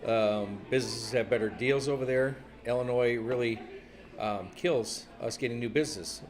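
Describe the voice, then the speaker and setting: Male voter, mid-range pitch, casual, informal interview. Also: normal speed.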